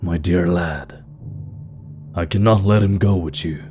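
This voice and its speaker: A man's voice: low-pitched, a little slow, darkish tone. A man playing a proud, grave king of stone folk in a fantasy audio drama, speaking in a stately, formal way. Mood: measured, stern. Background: background music.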